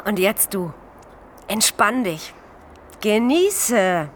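A woman's voice: medium pitch, big pitch swings, drawn-out words. An actress playing a brash, streetwise homeless woman, colloquial and urging, in a German radio crime drama. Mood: soothing, worldly-wise. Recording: noticeable background noise.

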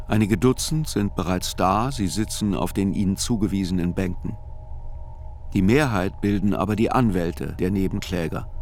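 An actor playing a writer who narrates a trial in a radio play, in a measured, sober, observant way. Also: male voice, lowish voice, a little mellow, a little hiss or hum.

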